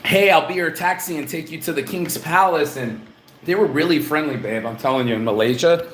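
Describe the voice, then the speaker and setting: A man's voice: on the high side, brisk, a bit bright, a little hiss or hum. A man from New Orleans giving a blunt, rambling, profane live travel update from a hotel room. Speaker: vlogger. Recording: echoey room with faint ambience.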